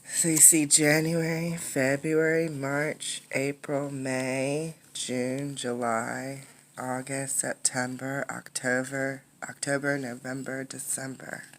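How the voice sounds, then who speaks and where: A woman reading a picture book aloud as a teacher, in an instructive way, pausing to explain and ask questions. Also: very deep voice, little pitch movement.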